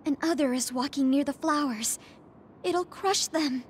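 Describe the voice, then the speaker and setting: A woman playing a gentle, earnest but stubborn young female soldier in an anime-style video game, speaking in short, halting sentences. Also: high-pitched, slight background noise. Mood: alert, excited.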